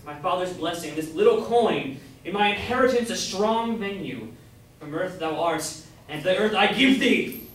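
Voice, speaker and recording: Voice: mid-range voice, lively intonation, moderate pace, lightly acted. An actor playing a brash young disinherited man in an amateur staged reading, declaiming verse dramatically. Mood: wry, earnest. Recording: echoey room from far off, faint noise.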